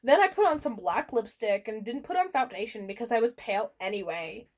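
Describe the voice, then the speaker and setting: A woman with a fairly high voice reads a story aloud for comic effect. Her delivery is theatrical and giggly, as a dramatic reading among friends. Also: animated, brisk. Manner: giggly, theatrical, often breaks into laughter. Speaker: reader of a fan fiction.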